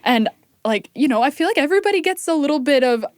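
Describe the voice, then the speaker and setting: A woman's voice: sits high, expressive, quick pace, no room sound. A woman in a casual, reflective chat as a co-host, talking informally and affirmingly. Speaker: co-host in a discussion.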